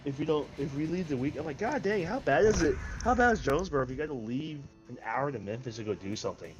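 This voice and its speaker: A man's voice: a little high, expressive, fast talker, highs cut off, music in the background. A young American man in casual, emphatic chat, repeating words for emphasis. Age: young adult. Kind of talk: casual chat, swearing banter. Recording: faint background noise.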